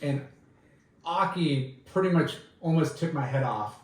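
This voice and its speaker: A man's voice: a little high, animated, measured pace, recorded from a distance. A man co-hosting a casual surf chat, telling rambling stories and acting out his own quotes. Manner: rambling storyteller, quotes himself in dialogue. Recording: roomy, from off the mic.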